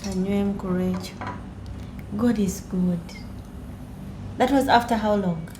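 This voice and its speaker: A woman's voice: mid-range voice, takes it slowly. A woman hosting a podcast interview conversationally, reacting and interjecting often as her guest talks. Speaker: podcast host. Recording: echoey room, faint background noise.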